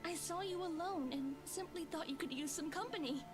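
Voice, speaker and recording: A woman's voice: very high voice, a little fast, over music. A woman voicing a demure young maidservant in a visual novel game, polite and deferential. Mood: meek, polite.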